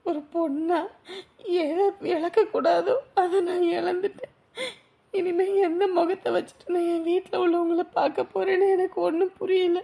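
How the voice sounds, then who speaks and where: A woman's voice: extremely high pitch, flat delivery, normal speed, gravelly, dark tone. An actress playing a young woman who is ashamed and frightened, speaking tearfully and pleadingly. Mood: distraught.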